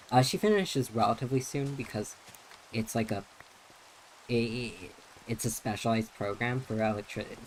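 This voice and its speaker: A man's voice: mid-range voice, darkish tone, slight background noise, poor audio. A young man streaming a game, chatting casually in a neutral, feminine-leaning voice, rambling with many restarts and fillers. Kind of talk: casual live-stream chat while playing.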